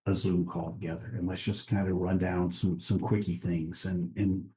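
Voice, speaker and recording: A man, a guest on an interview podcast, talking in a relaxed way with frequent restarts and easy laughs. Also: sits low, normal speed. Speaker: guest, international trade director. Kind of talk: casual interview conversation.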